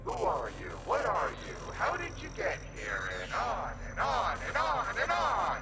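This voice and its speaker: Man playing a high-voiced mocking mind entity, wildly swooping pitch, slow drawl, taunting, audio drama. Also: huge pitch swings, heavy background noise, exaggerated voice. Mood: scornful. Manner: taunting, condescending, sing-song. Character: mocking glitchy grinning mind entity, male.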